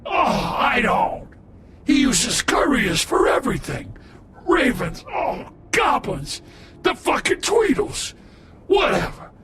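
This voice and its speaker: Brash male game thug: high-pitched, animated, foul-mouthed and taunting. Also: slow pace, noisy background, full character voice. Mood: pained, defiant. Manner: taunting, swearing, jeering. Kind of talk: video game voice acting.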